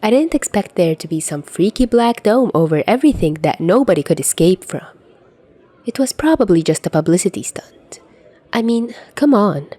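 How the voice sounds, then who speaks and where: A woman's voice: medium pitch, lively intonation, normal speed, warm tone, no echo, natural voice. A woman voicing a panicky young commuter caught in a disaster, in an incredulous first-person monologue from a fan fiction story. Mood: wry, dismissive. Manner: incredulous, exclamatory inner monologue.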